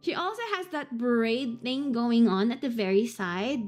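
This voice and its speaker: A woman's voice: fairly high pitch, measured pace, darkish tone, faint music behind. A woman streamer chatting playfully and laughing often while commenting on a game. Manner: giggly, playful.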